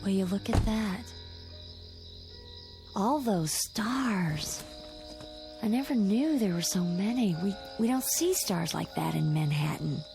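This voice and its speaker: A young wife in a radio drama, with clear music behind her. Her voice is creaky and sits at an everyday female pitch. She banters playfully, wry and patient with a needling edge. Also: lively intonation, takes it slowly, long pauses, a little mellow. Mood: puzzled wonder. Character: teasing, patient young New York wife.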